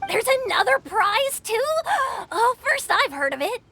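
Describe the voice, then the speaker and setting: A woman's voice: extremely high pitch, very animated, a little slow, pushed and bright, a little hiss or hum, exaggerated voice. A woman playing a polite, easily awed young tournament clerk in a video game, courteous and hesitant. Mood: surprised. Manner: hesitant, courteous, breathless with awe. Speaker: registration clerk. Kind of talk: video game character dialogue. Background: music.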